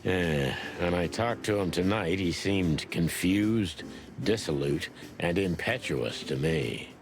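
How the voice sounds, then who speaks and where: An actor playing a world-weary, wry rooster detective in a noir video game, with a dry, hard-boiled manner. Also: male voice, low-pitched, animated, slow, heavily acted. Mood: puzzled, grave. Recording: music under the voice.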